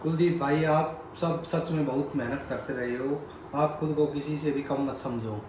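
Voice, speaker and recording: A man reads out viewer comments and chats agreeably with his friend, often answering with short words of assent. The room rings loudly with echo, and a clear background noise runs under his voice. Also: fairly high pitch, steady tone, moderate pace. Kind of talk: casual chat.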